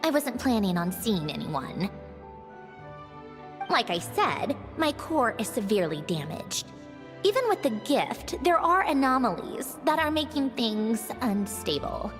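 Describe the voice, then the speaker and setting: An actress playing a proud, coolly courteous mechanical woman of high rank in a video game, speaking in formal, precise phrases. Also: sing-song, over music. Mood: guarded, determined. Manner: formal, measured, precise wording.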